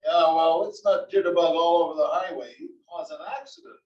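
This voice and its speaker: A man's voice: on the high side, steady tone, fairly quick, natural voice. A man playing a grumpy, fussy aging husband with a dry, clipped manner in a comedy table read. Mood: irritable. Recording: muffled, echoey room, distant mic.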